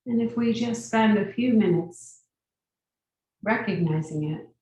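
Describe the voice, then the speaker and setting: A woman guiding a meditation as a teacher, giving gentle, unhurried instructions with long pauses. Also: mid-range voice. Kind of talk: guided meditation. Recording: very echoey room, distant mic.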